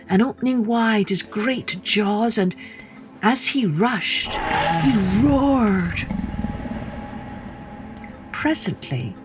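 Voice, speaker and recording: A woman telling a children's story in an expressive, dramatic way, addressing the listener directly. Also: medium pitch. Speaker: storyteller, podcast host.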